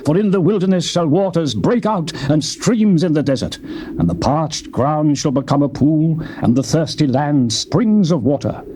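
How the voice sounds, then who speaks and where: Solemn, lively male scripture narrator. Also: fairly high pitch, moderate pace, a little hiss or hum. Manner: solemn, declamatory reading. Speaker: scripture reader.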